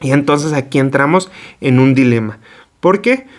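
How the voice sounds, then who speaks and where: A man's voice: mid-range voice, animated, normal speed. A man giving a lecture to teachers. He asks himself questions and then answers them, and he corrects himself as he goes. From Mexico. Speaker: teacher trainer. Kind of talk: explanatory online lecture.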